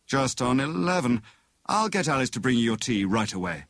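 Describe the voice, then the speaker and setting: A man voicing an easygoing, affectionate young husband and amateur detective, brisk and practical, in an audiobook. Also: mid-range voice, big pitch swings, a bit bright, no echo, clean studio sound.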